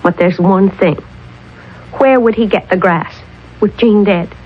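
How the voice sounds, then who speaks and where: A woman's voice: mid-range voice, unhurried, breathy edge. An actress playing an earnest, headstrong woman scientist in a radio drama, urgent and emphatic. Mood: insistent reasoning. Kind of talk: 1970s radio drama acting. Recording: dull old radio broadcast recording.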